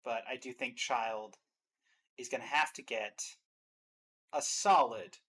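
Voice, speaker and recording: A man's voice: mid-range voice, slow pace, sharp tone, husky. A man hosting a casual reaction show, explaining game trailers to his audience.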